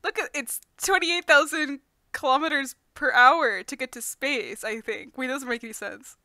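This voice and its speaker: A woman's voice: high-pitched, normal speed, pushed and bright, dry recording, clean studio sound. A woman playing a giddy, lovesick, slightly unhinged male vlogger in an improvised comedy dub, gushing and rambling. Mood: dreamy. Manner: gushing, rambling, breaking into laughter. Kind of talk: improvised parody fandub of a vlog.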